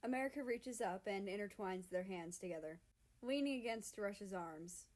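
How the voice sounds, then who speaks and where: A woman's voice: mid-range voice, moderate pace, darkish tone. A woman reading fiction aloud as a scripted narration. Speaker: fan fiction reader.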